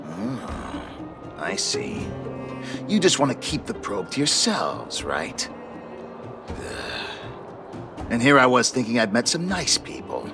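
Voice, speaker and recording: An actor playing a greedy petty thief in a video game, theatrical, taunting and wheedling. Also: male voice, medium pitch, wildly swooping pitch, takes it slowly, vocal fry, long pauses, music in the background, exaggerated voice. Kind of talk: animated video game voice acting.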